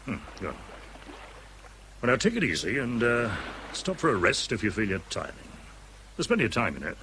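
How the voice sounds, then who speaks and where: A kindly officer in charge in a radio drama. His voice is fairly low and moves about expressively, avuncular and encouraging. There is faint noise on the recording. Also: huge pitch swings, moderate pace, bright, forceful tone, full character voice, low-quality recording.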